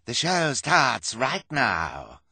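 A gloating storybook villain, acted by a man, announcing like a showman. He draws words out slowly in a forceful, bright voice with dramatic swings. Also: mid-range voice, wildly swooping pitch, husky, dry recording, pitched above the performer's own voice, full character voice. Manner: slow, drawn-out announcing.

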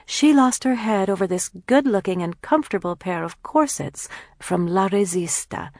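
Woman acting a sarcastic, theatrical teenage girl, raised pitch. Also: lively intonation, moderate pace, a little mellow, dry recording, clean studio sound. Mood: grandly reading. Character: sarcastic, dramatic teenage girl aspiring singer, older sister. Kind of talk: acted character dialogue in audiobook.

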